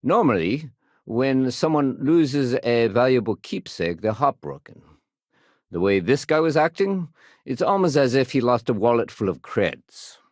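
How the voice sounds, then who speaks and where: A man playing a dutiful, wry, level-headed space-colony security sergeant in a video game, with measured, conversational authority. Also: mid-range voice, expressive, darkish tone, no echo, studio quality, natural voice. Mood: measured, matter-of-fact. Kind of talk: video game character dialogue.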